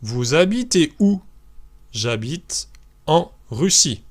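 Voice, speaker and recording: A male French teacher from France giving a beginners' lesson. He speaks very slowly and carefully, lays out each model sentence for learners to repeat, and his pitch moves a lot as he goes. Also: very animated.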